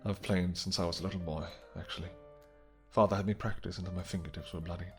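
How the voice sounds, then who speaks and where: A man's voice: sits low, steady tone, fast, faint music behind. A man playing a possessive, courtly vampire king in a romantic fantasy audio roleplay, speaking silky endearments with mock-polite menace. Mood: soft, affectionate.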